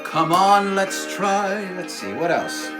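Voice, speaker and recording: A man's voice: high voice, sing-song, takes it slowly. A man hosting a children's puppet show and sing-along, exclamatory and encouraging, repeating his phrases. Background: music.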